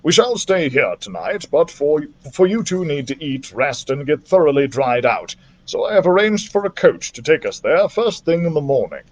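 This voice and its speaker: A man's voice: a little high, sing-song, normal speed. A man voicing a learned adventurer doctor in a storybook, a formal and authoritative mentor with precise phrasing. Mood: puzzled, decisive.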